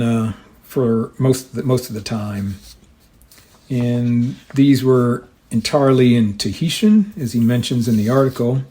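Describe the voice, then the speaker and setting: A man with a soft-edged, mellow voice at a middling pitch talks as a hobby show host. His delivery is informal and explanatory, a casual monologue that moves along a bit haltingly. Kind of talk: casual hobbyist monologue with some reading aloud.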